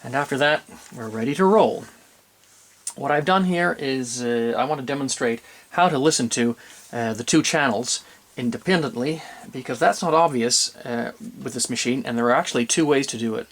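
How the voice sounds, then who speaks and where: A man's voice: medium pitch, moderate pace. A man presenting an unscripted, methodical demonstration of vintage audio gear, explaining step by step and correcting himself as he goes.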